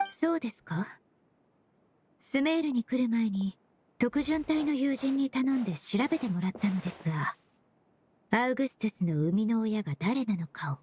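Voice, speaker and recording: Actress doing calm polite lady detective in a game, highish voice, methodical, bit of background noise. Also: normal speed, natural voice. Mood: coolly probing. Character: composed, analytical young woman perfumer and forensic investigator. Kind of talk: Japanese game dub dialogue.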